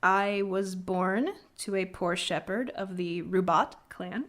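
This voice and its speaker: A woman's voice: fairly low pitch, steady tone, takes it slowly. A woman voicing a proud, stern rebel military tactician in a video game, curt, sardonic and articulate, given to lecturing. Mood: stern, contemptuous. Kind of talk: scripted video game character acting.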